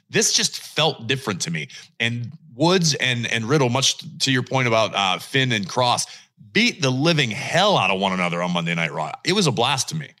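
A man co-hosting a wrestling podcast, talking in an energetic, emphatic, casual way. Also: mid-range voice. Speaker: podcast co-host.

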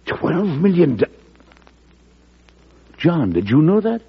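An actor playing a weary, resolute middle-aged American president, speaking formally and deliberately in an old radio drama. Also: male voice, fairly high pitch, sing-song, gravelly, breathy edge, exaggerated voice. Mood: troubled, firm. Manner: deliberate, formal, dryly stern. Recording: muffled vintage radio recording.

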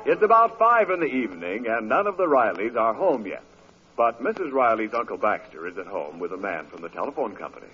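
Animated male radio announcer over music. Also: fairly low pitch, a little fast. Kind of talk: 1940s radio scripted narration. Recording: dull old radio recording, loud music. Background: loud music.

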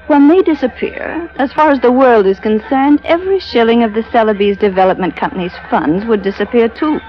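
Actress as a cool scheming wife, muffled radio. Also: female voice, fairly high pitch, fairly quick, natural voice. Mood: cold, bitter. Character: cool, scheming wife bargaining with a sea captain. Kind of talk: old-time radio drama acting. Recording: muffled vintage radio broadcast.

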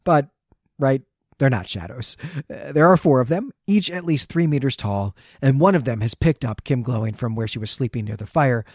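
A man's voice: medium pitch, lively intonation, normal speed, a little mellow, no room sound, studio quality. A man hosting a podcast, recapping in a conversational way with dramatic emphasis as he goes. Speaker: podcast host.

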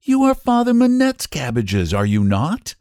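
A man's voice: medium pitch, huge pitch swings, measured pace, a little mellow, no echo, clean studio sound. A man voicing a determined, polite country boy in a children's story, with an earnest, protesting manner.

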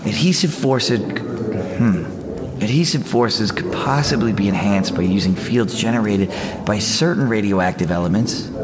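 An actor playing an earnest, awkward young college student in an audio drama, casual and hesitant in manner. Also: male voice, fairly low pitch, close to an everyday voice. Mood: musing. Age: young adult.

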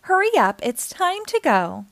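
A woman's voice: very high-pitched, sing-song, unhurried, creaky, no room sound, pitched above the performer's own voice, heavily acted. A woman voicing a firm, bossy female elephant who urges others on in a storybook. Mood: firm, urging. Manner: loud, firm, urging.

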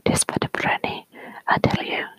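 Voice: normal speed, sharp tone, no room sound, lightly acted. A storyteller whispering the part of a stern, sensible old village granny who scolds with folksy sayings. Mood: scolding.

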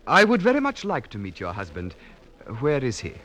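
An actor playing an eager, curious young navy lieutenant in a classic radio drama, with a husky voice. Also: male voice, mid-range voice, huge pitch swings, fairly quick, fairly bright tone. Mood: flustered.

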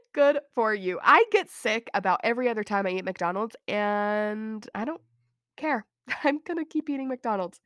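A woman's voice: mid-range voice, expressive, a little slow, no echo, studio quality. A woman in her thirties from Utah hosting a casual solo podcast, chatty and self-deprecating with frequent giggles, her voice thickened by a cold. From Utah, USA. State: has a cold. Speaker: podcast host.

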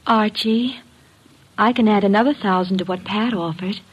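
A woman's voice: medium pitch, moderate pace, breathy edge. An actress playing a nosy, coquettish, petulant society woman, breezy and coaxing, in an old radio drama. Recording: dull lo-fi old radio recording.

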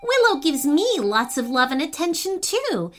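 A woman's voice: sits high, big pitch swings, moderate pace, fairly bright tone, pitched above the performer's own voice. A woman voicing a polite, earnest, slightly nervous male dog owner in a children's storybook, eager and friendly. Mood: happy, affectionate.

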